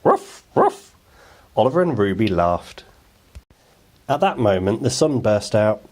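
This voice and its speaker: A man narrates a children's picture book for bedtime. His voice sits a little low, in a storytelling delivery made for young listeners. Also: sing-song, measured pace, darkish tone.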